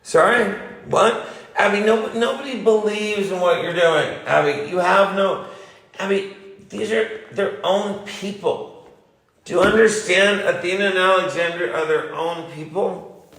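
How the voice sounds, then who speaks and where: A man's voice: high voice, unhurried, a bit bright, roomy sound, rough recording. A man in a heated personal phone argument, speaking in a confrontational, insistent way and often repeating himself. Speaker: parent on a phone call.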